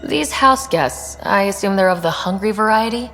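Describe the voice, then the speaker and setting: A woman's voice: mid-range voice, lively intonation, normal speed, fairly bright tone. An actress playing a confident, friendly young woman space explorer in a video game, earnest and easygoing. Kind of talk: video game dialogue acting. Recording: long reverberant space, faint music.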